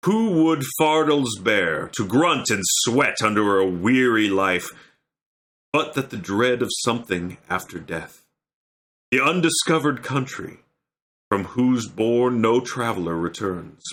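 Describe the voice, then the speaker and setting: A man performing a troubled, brooding young prince in a Shakespearean stage soliloquy, with measured, solemn phrasing. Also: lowish voice, fairly bright tone, pitched above the performer's own voice. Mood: indignant, weary. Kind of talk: Shakespearean dramatic recitation.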